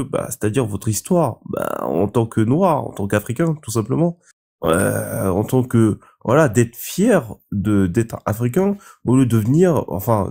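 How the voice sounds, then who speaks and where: Low, mellow-voiced male caller. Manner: hesitant, many fillers and restarts. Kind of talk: casual opinion monologue.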